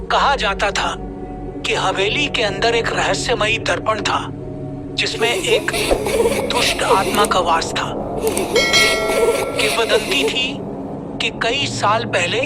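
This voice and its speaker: A man narrating a scripted horror tale in a slow, measured storytelling manner. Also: high voice, big pitch swings. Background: loud music.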